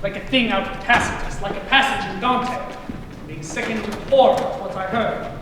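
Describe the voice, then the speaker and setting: A young stage actor playing a weary, self-reproaching Victorian poet in the dock, speaking in an anguished, declamatory way. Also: male voice, high-pitched, fairly even intonation, moderate pace, close to an everyday voice. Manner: declamatory, anguished, rising to cries. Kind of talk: stage play performance. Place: theater stage. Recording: echoey theater from far off, audible hiss.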